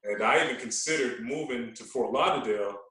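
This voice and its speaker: A man's voice: mid-range voice, fairly quick, pushed and bright. Echoey American man telling stories casually. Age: 40s. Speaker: radio personality, podcast guest. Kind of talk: casual interview storytelling. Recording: very echoey, roomy sound.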